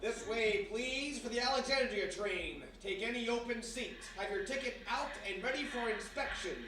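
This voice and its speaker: A man's voice: extremely high pitch, normal speed, bright, forceful tone. A man voicing a formal railway announcer and conductor, calling out in a radio play. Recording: very echoey live room, distant, noisy.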